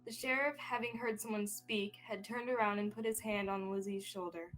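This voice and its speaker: A woman's voice: medium pitch, steady tone, normal speed, poor audio. A young woman reading scripted narration as the storyteller of a student radio drama. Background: soft music.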